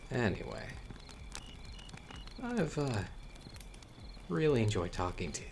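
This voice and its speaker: A man playing a chatty young storyteller with hidden menace in a horror audio roleplay, talking casually with wry asides and hesitant pauses. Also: mid-range voice, huge pitch swings, gravelly, a little mellow, a little hiss or hum, heavily acted. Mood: casual, teasing.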